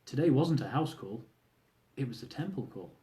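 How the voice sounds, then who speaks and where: A man's voice: medium pitch, animated, moderate pace, mellow. A man reading his own humorous memoir aloud, telling the story wryly and speaking asides to his listeners. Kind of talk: audiobook-style reading of a travel memoir.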